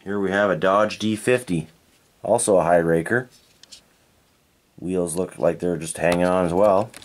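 Man, low voice, laid-back collector thinking aloud, faint hiss behind. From Canada. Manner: relaxed, unhurried, thinks aloud and corrects himself. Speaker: toy collector reviewing cars. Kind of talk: unscripted hands-on toy review. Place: indoors at a tabletop diorama.

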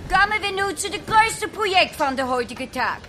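An actress playing a brisk auctioneer in a children's audio drama, calling bids in a ceremonious, declamatory manner. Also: female voice, extremely high pitch, expressive, background noise.

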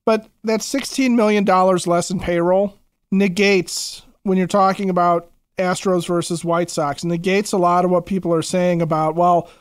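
Male sports podcast co-host, high register, emphatic casual commentary, close dry recording. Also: fairly even intonation, normal speed, smooth, no room sound.

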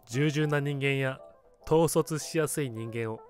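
A man acting in a radio drama as a calm, wry barber. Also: mid-range voice, a little slow, soft background music. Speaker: narrator and lead voice actor.

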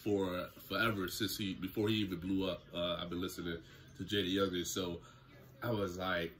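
A young man talks straight into a close camera in a small room at home, telling a casual story-time tale. His voice sits low, but it has a bright, forceful edge. He keeps it loose and slangy. A faint ambient hum sits behind him. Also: flat delivery, moderate pace. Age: young adult. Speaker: YouTuber. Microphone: camera close in front, seated.

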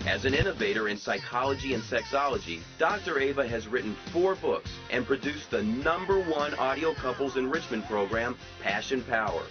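A man reading a scripted introduction as an announcer, formal and measured. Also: mid-range voice, animated. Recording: dull lo-fi sound with music.

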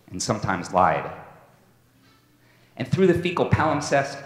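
A man's voice: on the low side, lightly acted. Theatrical rodent father in an echoey hall , acted by a man. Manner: theatrical, deliberate, solemn. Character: tender, proud, aging father rodent addressing his pup. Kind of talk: dramatic poetry reading. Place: stage in a large hall with audience. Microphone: microphone on a stand. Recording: echoey hall, stage microphone.

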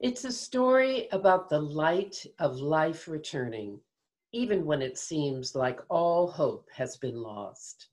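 An older woman tells a children's story in a slightly low voice. Her pitch swings widely and her delivery is very unhurried. She is on a video call from home. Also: big pitch swings, a little mellow. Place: home room, indoors. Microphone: video call computer mic.

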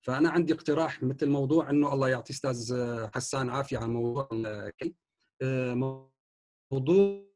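A man's voice: mid-range voice, quick pace, darkish tone. A man, an engineer in an online meeting, making a suggestion with many hesitations and English technical terms. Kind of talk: earnest comment in an online meeting.

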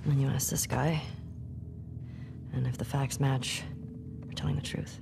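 A woman's voice: a bass voice, fairly even intonation, a little slow, gravelly, music in the background, full character voice. A young American woman acting a guarded, tough survivor in a game cutscene, speaking in a terse, understated, blunt way. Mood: grim. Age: young adult.

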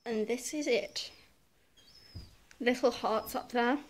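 A woman's voice: on the high side, slow. A young woman with a British accent chatting and teasing casually as she gives a handheld vlog tour indoors. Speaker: vlogger giving a house tour. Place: indoors, rooms of a holiday house. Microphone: handheld phone or camera. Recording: noisy handheld phone recording.

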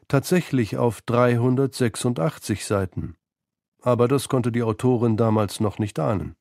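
A mellow male voice narrates a literary radio feature. He reads his script in a measured, sober way, in a dry, close sound at a middle pitch. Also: dry recording.

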